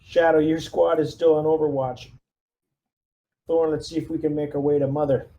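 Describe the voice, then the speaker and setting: Mellow, creaky male tabletop player. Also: a little high, level tone, normal speed, muffled recording. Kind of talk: casual game chat.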